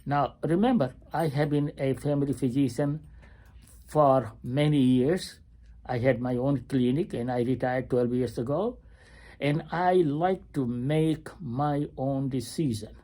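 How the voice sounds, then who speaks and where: A man in his eighties, a retired family physician, talking slowly and haltingly in a personal video diary from a hospital waiting area, with many pauses and fillers. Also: medium pitch, warm tone. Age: 80s.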